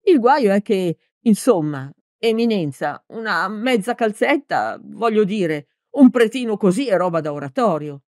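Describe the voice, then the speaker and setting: A woman's voice: medium pitch, expressive, measured pace, few pauses, a little mellow, no room sound, clean studio sound, pitched above the performer's own voice, close to an everyday voice. A woman voicing a big, hot-tempered male village mayor in a storybook, blustering and clumsily formal with emphatic delivery. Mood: awkward, deferential.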